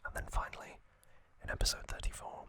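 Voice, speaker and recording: A man whispering gently in an ASMR style, hosting with frequent pauses, close to the microphone. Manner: slow, gentle delivery with pauses. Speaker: host of an ASMR trivia channel.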